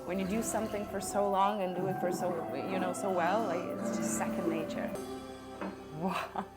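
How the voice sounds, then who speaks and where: A woman from Romania, calmly explaining relationships as a self-development coach. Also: medium pitch, normal speed, loud music behind the voice. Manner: calm, explanatory, reflective. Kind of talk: film analysis commentary.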